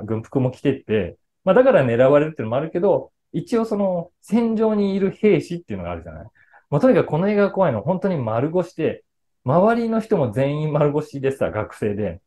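Middle-aged man, lively swinging intonation. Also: fairly high pitch. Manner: explanatory, conversational, with frequent pauses to search for words. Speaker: voice actor and actor talking to his fan club. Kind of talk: casual film talk to an online audience. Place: small indoor room with a patterned wall, video call.

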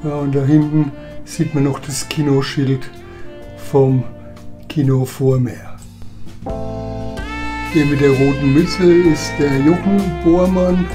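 A man's voice: on the high side, steady tone, takes it slowly, pauses often. A man narrating old home movies in a chatty, reminiscing voice-over. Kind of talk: casual voice-over commentary. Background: background music.

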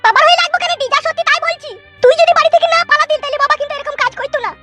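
Performer as sharp-tongued village girl of eighteen, bright raised-pitch voice, rural West Bengal accent, exasperated. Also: very fast. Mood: reproachful. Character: sharp-tongued, fed-up eighteen-year-old village daughter. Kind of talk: comedy cartoon dialogue.

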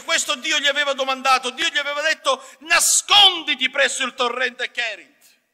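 A man in his fifties preaching a sermon in an emphatic, fervent manner at a church pulpit microphone. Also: very high-pitched. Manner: emphatic, swinging between measured phrases and fervent shouts. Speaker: evangelical preacher. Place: church hall, indoors at a pulpit. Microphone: pulpit gooseneck microphone. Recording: reverberant hall.

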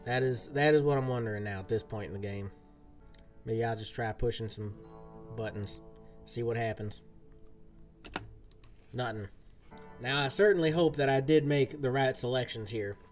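A man's voice: mid-range voice, normal speed, pauses often, soft background music. A man, chatting casually and folksily with his chat while streaming a game. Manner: folksy cowboy-style talk. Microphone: desk mic, game audio from a speaker.

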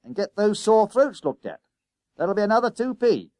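A man's voice: sits high, very animated, moderate pace, dry recording, clean studio sound, voice pushed up. A man voicing a blunt, impatient, sceptical bus conductor in an audiobook story. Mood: brisk, bossy. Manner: blunt, loud, colloquial.

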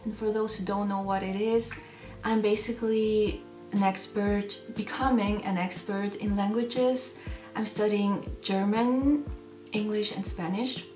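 A young woman from Switzerland hosting a casual Q&A vlog from her bedroom, talking to the camera in a chatty, slightly self-conscious way with pauses and restarts. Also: mid-range voice, fairly even intonation, warm tone, reverberant, recorded from a distance, soft background music, low-quality recording. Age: young adult. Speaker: channel host. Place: bedroom at home, indoors.

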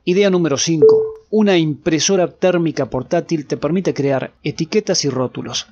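A man reading a scripted explainer as a video host, in a presentational, clearly enunciated way. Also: on the high side, darkish tone, lo-fi sound.

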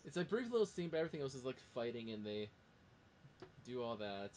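A man with a mid-pitched voice chats about film as a host. He rambles along with enthusiasm, and there is faint noise behind him. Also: sing-song, quick pace, a little mellow. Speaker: podcast host. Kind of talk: casual film discussion.

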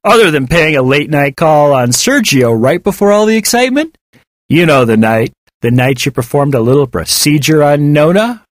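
A man voicing a gruff, menacing male thug who barks and taunts in an audiobook story. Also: a little high, very animated, normal speed, dry recording, clean studio sound, voice pushed up. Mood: mocking taunt. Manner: barking, taunting.

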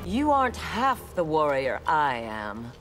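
Haughty armored cyborg ninja. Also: female voice, sits low, very animated, slow pace, a bit bright, soft background music, full character voice. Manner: haughty, menacing. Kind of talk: video game battle banter.

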